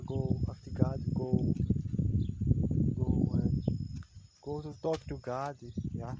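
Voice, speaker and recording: Man, slow hesitant English, fillers, outdoors, loud insects. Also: medium pitch, background noise. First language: Portuguese. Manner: halting, searching for words, frequent "yeah". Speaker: language learner. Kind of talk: unscripted practice monologue.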